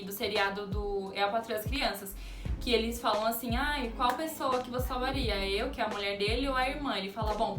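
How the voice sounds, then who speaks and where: Chatty young woman in a roomy space. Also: fairly high pitch. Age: 20s. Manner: chatty, answers viewer questions aloud. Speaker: youtuber. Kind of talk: casual Q&A vlog. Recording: roomy echo, distant mic, music behind. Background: background music.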